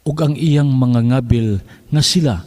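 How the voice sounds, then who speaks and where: A man narrating a scripted scripture reading in a measured, formal recitation. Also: medium pitch, warm tone. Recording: clear music behind.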